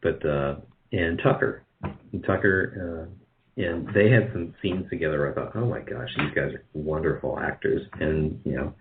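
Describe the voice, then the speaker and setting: A man's voice: rumbling low, a little slow, a little hiss or hum. A man chatting casually as an interview guest, thinking aloud in a hesitant, rambling way. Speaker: guest, radio drama producer. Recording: muffled, band-limited call audio.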